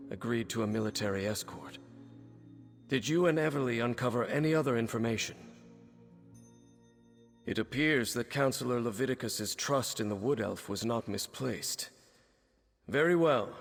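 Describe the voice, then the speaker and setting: A man's voice: medium pitch, vocal fry, big-room sound, natural voice. A man voicing a stern, businesslike military captain in a video game, formal and commanding.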